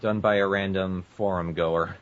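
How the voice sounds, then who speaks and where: A man's voice: low-pitched, fairly even intonation, normal speed, muffled recording. A man hosting an amateur podcast in a casual, bantering way. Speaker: podcast host.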